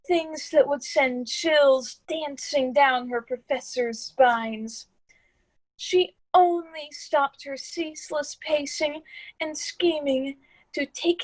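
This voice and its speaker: A woman's voice: high-pitched, dry recording, low-quality recording. A woman playing the wry, ominous narrator of a radio drama, telling the story theatrically.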